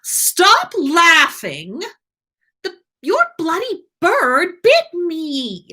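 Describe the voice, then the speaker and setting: A woman's voice: extremely high pitch, wildly swooping pitch, drawn-out words, bright, forceful tone, pitched above the performer's own voice, heavily acted. Lady doing a snobby irritable young wizard guy in a story, drawls it out, very up and down. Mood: indignant, exasperated. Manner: snooty, scornful, exasperated. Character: haughty, irritable young wizard man, easily flustered.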